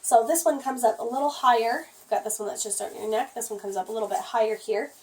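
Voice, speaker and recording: A hoarse woman who has nearly lost her voice, chatting casually through a product review with lots of "um"s. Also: a little high, fairly even intonation, fast talker, a little mellow, distant mic. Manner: chatty, rambling, frequent "um".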